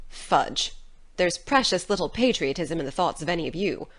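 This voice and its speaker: An actress playing a stern, critical country guardian who scolds brusquely in a dramatized audiobook. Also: female voice, lowish voice, expressive, normal speed, fairly bright tone. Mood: scornful grumbling.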